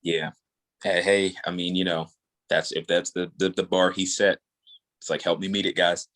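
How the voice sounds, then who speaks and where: A man's voice: sits low, level tone, normal speed, fairly bright tone. A man talking casually as an interview guest, earnest and rambling, often repeating words as he works out his thought. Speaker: podcast guest, audio drama creator.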